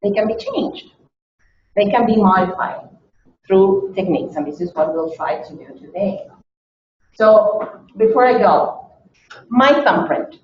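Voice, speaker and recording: A woman's voice: mid-range voice, very animated, measured pace, pauses often, a little hiss or hum. A woman from Chile, a language teacher, lectures on bias. She explains point by point and often checks in with "okay?". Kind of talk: workshop lecture. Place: meeting room. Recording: distant, echoey, dull room recording.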